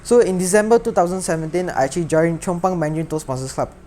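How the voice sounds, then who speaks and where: A man's voice: sits high, fast, darkish tone, slight background noise. Earnest Singaporean teenage boy. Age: teens. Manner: earnest, with frequent restarts and repeated words. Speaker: secondary school student. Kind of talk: personal talk to camera.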